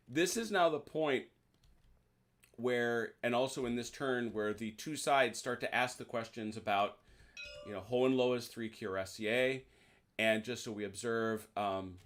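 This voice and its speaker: A man's voice: medium pitch, measured pace, a little hiss or hum. A man hosting a video call, casually walking players through the rules of a tabletop wargame with plenty of restarts. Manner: chatty, explains rules with many restarts and "uh"s. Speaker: host teaching a tabletop wargame.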